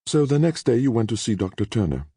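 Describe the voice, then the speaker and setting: A clipped, efficient British woman grills her subject with brisk, unfriendly questions, as a man puts on her voice. The delivery is lively, with lines pitched up over a gravelly undertone. The recording is dry and close. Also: no room sound. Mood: clipped, probing. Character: brisk, unfriendly woman interviewer, middle-aged.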